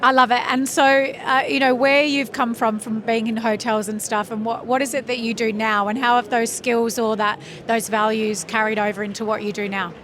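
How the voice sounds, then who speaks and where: A woman's voice: a little high, level tone, moderate pace, hardly any pauses, a bit bright. A woman hosting a podcast interview at a trade conference, chatty and enthusiastic.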